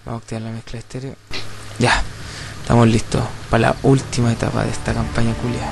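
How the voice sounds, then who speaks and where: Chilean man gaming over loud music. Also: medium pitch, monotone, fast talker, vocal fry. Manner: slangy, swearing. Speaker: video game player recording a playthrough. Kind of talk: casual gaming chat with friends. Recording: game audio and music behind voice. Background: zombie shooter game sounds and music.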